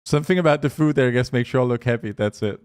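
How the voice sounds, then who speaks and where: A young man with a light Danish accent, talking in a relaxed, chatty way as he streams a game. His voice sits at an ordinary middle pitch, and he rambles casually between thanks and small talk. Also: racing, clear, resonant tone. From Denmark. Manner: chatty, loose, reads names off chat. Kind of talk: casual chat with live chat.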